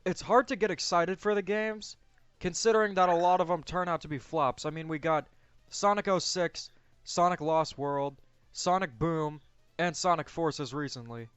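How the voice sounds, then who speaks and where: Clear, higher-voiced young man chatting. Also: normal speed, fairly bright tone, dry recording, highs cut off. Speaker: discussion host. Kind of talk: casual chat.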